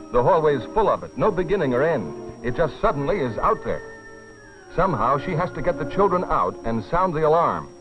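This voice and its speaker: A man narrating a dramatized film in a grave, deliberate scripted style with dramatic pauses. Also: medium pitch. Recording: dull old film soundtrack, music behind. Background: dramatic music.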